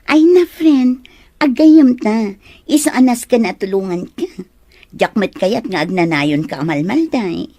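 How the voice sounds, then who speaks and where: A woman's voice: a little high, lively intonation, unhurried, warm tone, no room sound. A woman playing a caring, supportive young best friend in a melodrama, speaking in a soothing, encouraging way. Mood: comforting. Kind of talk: Ilocano melodrama acting.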